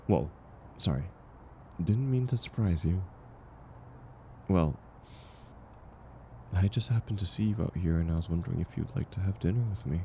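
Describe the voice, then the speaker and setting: A man acting a kind, gentle stranger in an intimate audio roleplay, speaking reassuringly and unhurriedly to the listener. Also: low-pitched, creaky, a little hiss or hum. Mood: friendly, tentative. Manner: soft-spoken, reassuring, unhurried. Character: kind, gentle man, once homeless, sharing dinner with a stranger.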